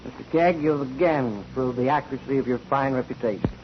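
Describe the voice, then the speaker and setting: An actor playing a dignified, courteous judge in a 1940s radio courtroom drama. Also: male voice, mid-range voice, fairly quick, natural voice. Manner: formal, dignified. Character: formal, courteous male judge presiding over court. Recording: dull, noisy 1949 radio recording.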